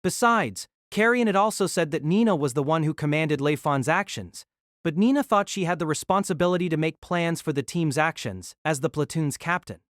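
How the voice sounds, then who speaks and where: A woman's voice: deep voice, lively intonation, brisk, no echo, clean studio sound. A woman narrating a novel in a scripted, dramatic storytelling style.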